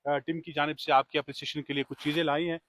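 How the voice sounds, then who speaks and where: A man's voice: fairly high pitch, flat delivery, fast talker, fairly bright tone, a little hiss or hum. A man speaking formally and courteously as a radio host giving a tribute and interview on location. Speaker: radio host presenting an appreciation award.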